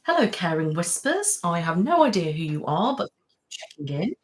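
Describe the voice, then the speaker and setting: A woman's voice: lowish voice, big pitch swings, brisk. A woman hosting a casual live-stream chat, rambling, laughing often and thanking viewers by name. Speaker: ASMR channel host.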